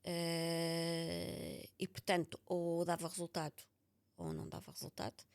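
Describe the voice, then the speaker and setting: Lower-pitched Portuguese woman, candid and emphatic. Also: lively intonation, measured pace, smooth. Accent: European Portuguese. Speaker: podcast author being interviewed. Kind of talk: personal, reflective interview conversation.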